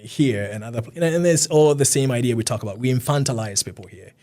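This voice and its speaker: Fast-talking man, earnest. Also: medium pitch, dark tone. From Ghana. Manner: earnest, rapid, repeats himself. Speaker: physician guest. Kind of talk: casual interview talk.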